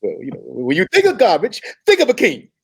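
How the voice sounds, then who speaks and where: A man's voice: sits high, wildly swooping pitch, brisk, vocal fry, slightly rough, exaggerated voice. An actor playing a smitten, earnest young janitor in a comedy table read, hesitant and fumbling over his words. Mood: nervous, eager. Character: smitten, nervous, earnest young man mopping floors.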